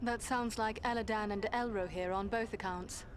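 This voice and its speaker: A woman's voice: mid-range voice, steady tone, moderate pace, a little hiss or hum, close to an everyday voice. An actress playing a watchful, serious female adventurer in a fantasy video game, composed and formal. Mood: wary, serious.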